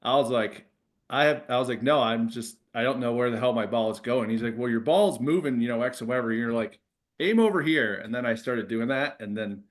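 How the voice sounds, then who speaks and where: A middle-aged man talking as a guest, telling stories in an informal, halting way. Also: mid-range voice. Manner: informal, halting, quotes dialogue. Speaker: guest, former relief pitcher. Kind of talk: casual anecdote.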